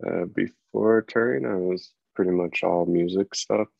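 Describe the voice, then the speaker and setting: A young man from Colorado introducing himself on a video call from a small room at home, low-key and unhurried. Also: steady tone, gravelly, no echo. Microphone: video call, laptop mic. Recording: dull lo-fi video call audio.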